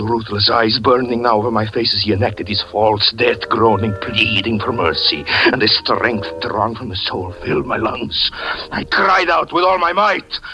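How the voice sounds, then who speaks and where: A haunted, desperate storyteller. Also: male voice, medium pitch, bright, forceful tone, rough recording. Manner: intense, breathless dramatic narration. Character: haunted man recounting his own murder, desperate. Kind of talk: 1940s radio acting.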